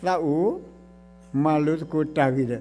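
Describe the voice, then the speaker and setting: A middle-aged man preaching a sermon with a Karen accent. He speaks into a stand mic on a church stage, swinging between loud exclamations and quiet passages. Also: slow, faint music behind. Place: church stage before blue curtain. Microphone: stand mic close to mouth.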